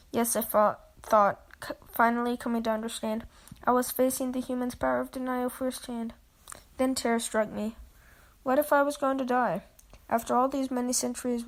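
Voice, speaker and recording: A young woman with a scratchy voice, co-hosting a podcast and reading a horror story aloud, with lazy, teasing banter in between. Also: on the high side. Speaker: podcast co-host.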